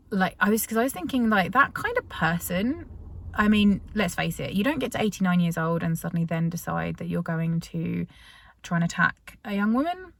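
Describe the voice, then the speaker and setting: A woman's voice: mid-range voice, vocal fry, no room sound. A British woman vlogger telling a story in a casual, chatty way, full of fillers and restarts. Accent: British English.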